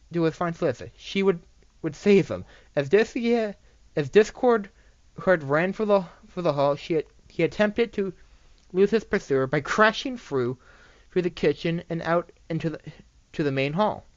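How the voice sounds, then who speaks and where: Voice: mid-range voice, lively intonation, normal speed, dry recording. An amateur narrator reading fan fiction aloud, often stumbling and repeating phrases. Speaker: story narrator. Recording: dull, dry home recording.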